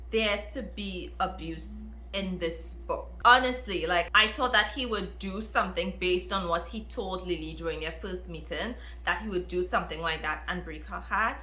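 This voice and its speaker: A woman chatting casually to viewers as a book reviewer, with an emphatic, expressive manner that repeats phrases for emphasis. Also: medium pitch. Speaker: book reviewer, video host. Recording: roomy, echoey room.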